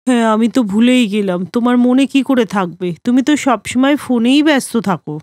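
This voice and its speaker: A woman's voice: fairly high pitch, normal speed, a little mellow, no echo, clean studio sound, close to an everyday voice. A woman voicing an ageing, long-neglected grandmother in a cartoon story, sarcastic and reproachful toward her husband. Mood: bitter, sarcastic. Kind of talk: cartoon story character acting.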